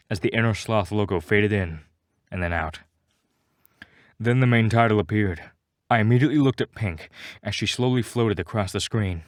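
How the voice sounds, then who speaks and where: A man with a fairly low voice narrates a scripted first-person tale, recorded close and dry. He delivers it with mock-serious melodrama, treating every line as grave drama. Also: dry recording. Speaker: story narrator.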